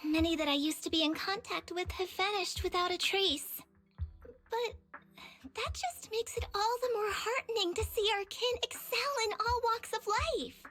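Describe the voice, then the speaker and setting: Young tiger girl, very high, bright, lively, sincere, polite, anime game style. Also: normal speed, faint music behind. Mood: respectful, earnest. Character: earnest, cheerful young tiger girl, eager martial disciple.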